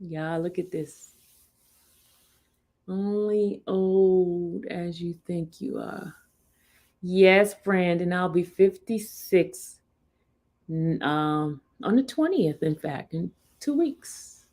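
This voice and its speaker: Slow-talking woman in her fifties. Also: on the low side, a little mellow. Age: 50s. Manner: chatty, laughs often, talks to her live chat. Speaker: livestream host. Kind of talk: casual livestream chat.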